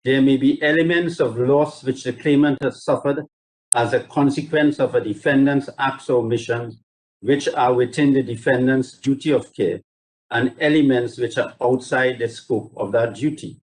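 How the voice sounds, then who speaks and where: A man speaking as a barrister in a formal, measured and courteous legal submission, reading carefully from judgments over a video link. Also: mid-range voice, darkish tone, low-quality recording. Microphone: video call.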